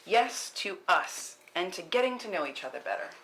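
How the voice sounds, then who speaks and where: A woman's voice: fairly low pitch, very animated, normal speed, a bit bright, rough recording. A woman playing a cool, confident sharpshooter and teasing friend in a screenplay table read, casually giving pointers. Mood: teasing, warm. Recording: faint room background noise.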